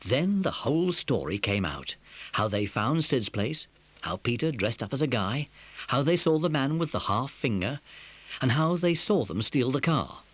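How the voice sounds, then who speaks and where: A man's voice: mid-range voice, sing-song, moderate pace. A man narrating a scripted children's story as the narrator of an audio dramatisation.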